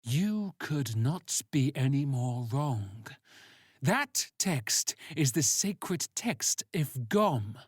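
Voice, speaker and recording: Stern cult leader, read by a male narrator, grandiose and solemn, drawn-out delivery, audiobook villain. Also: medium pitch, very animated, husky, no echo, clean studio sound, exaggerated voice. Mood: stern, reverent.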